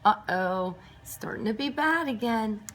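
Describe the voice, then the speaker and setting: Animated, high-voiced woman reading to small children. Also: a little slow, a little hiss or hum. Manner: emphatic, clearly paced for young children. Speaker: storybook reader for nursery school children. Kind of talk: expressive children's read-aloud with asides.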